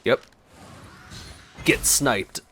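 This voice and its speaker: A man's voice: extremely slow, a bit bright, a little hiss or hum. A man giving casual let's play commentary as a streamer. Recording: faint game audio behind the voice. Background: video game sounds.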